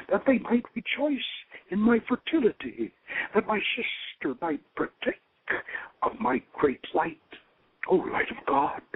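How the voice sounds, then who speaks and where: A man giving a solemn, theatrical reading of mystical, archaic scripture in an incantatory manner, with a husky, gravelly voice and dull lo-fi sound. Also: on the high side, no echo. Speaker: reader of an occult ritual text.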